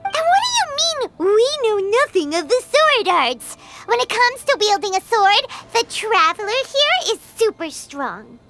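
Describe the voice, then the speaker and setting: A woman voicing a tiny, excitable childlike fairy companion in a video game, squeaky and given to indignant exclamations. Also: unhurried, exaggerated voice. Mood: indignant protest. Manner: exclamatory, speaks of self in third person. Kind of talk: animated video game voice acting. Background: loud music.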